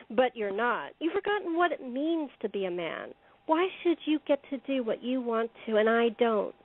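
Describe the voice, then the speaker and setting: A high female voice on a thin, phone-like line as an actress plays the part. She is a cunning con artist in an audio drama, taunting and gloating. The delivery is theatrical and scornful, in a dry sound. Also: no room sound, full character voice. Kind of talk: audio play acting.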